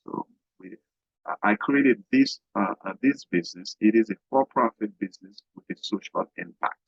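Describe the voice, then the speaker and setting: A middle-aged man from Haiti, a guest business coach talking haltingly in an interview, with many fillers and restarts. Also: fairly low pitch, vocal fry, breathy edge, no room sound. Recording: dull, dry lo-fi sound.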